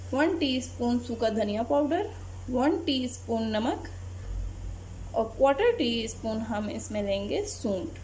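A woman, narrating a home cooking recipe step by step in a calm voice-over as channel host. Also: sits high, slight background noise, rough recording.

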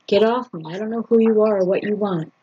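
A woman's voice: medium pitch, a little fast, slightly rough, voice pushed up, natural voice. A woman reading the part of a frightened but defiant woman in a story, pleading and urgent, on a muffled recording. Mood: alarmed, defiant. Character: frightened, distressed young woman under attack, defiant. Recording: dull lo-fi sound with faint noise.

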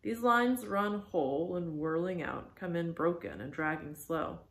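A woman's voice: lowish voice, animated, unhurried. Lady doing old whaling captain guy, half mad, grand old-timey orders and brooding, roomy echo. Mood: commanding, brooding. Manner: archaic, declamatory speech. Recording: echoey room.

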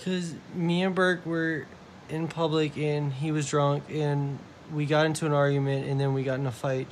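A man's voice: fairly high pitch, level tone, normal speed. A man in his twenties with an American accent talking on a phone call in a bedroom, recorded on a phone. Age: 20s. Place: bedroom at home. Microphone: phone at arm's length. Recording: noisy phone video.

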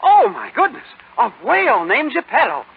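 An actor playing a small, folksy cricket companion who is loyal, flustered and stammering, in 1930s radio drama style. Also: male voice, extremely high pitch, big pitch swings, normal speed, gravelly, exaggerated voice. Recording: scratchy, tinny 1939 radio recording.

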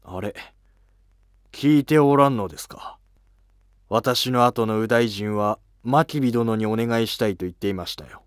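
A man voicing a modest, reflective retired aristocrat in an animated historical drama, calm and formal. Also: lowish voice, unhurried, smooth, no room sound, clean studio sound, natural voice.

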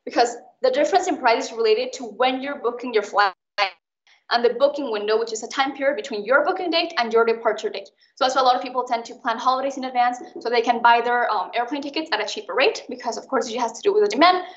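A woman's voice: fairly high pitch, quick pace. A young woman from Mexico teaching an informal online lesson, chatty and explaining with everyday examples. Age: young adult. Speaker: student tutor leading an exam recap. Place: indoors, online video call. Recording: muffled, roomy online call recording.

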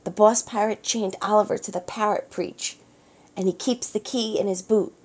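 A woman's voice: medium pitch, steady tone, moderate pace, a little mellow, slightly rough, lightly acted. A woman voicing a spirited, stubborn old grandmother with emphatic exclamations. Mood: weary.

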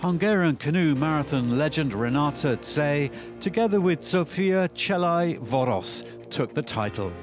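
Lively male sports documentary narrator. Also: fairly high pitch, measured pace. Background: background music.

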